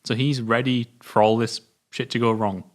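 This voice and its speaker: British guy, mid pitch, chill, chatting film trivia with his mate and laughing a lot. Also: normal speed. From Britain. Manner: relaxed, shares trivia, laughs often. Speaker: co-host of a film review show. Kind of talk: casual film chat.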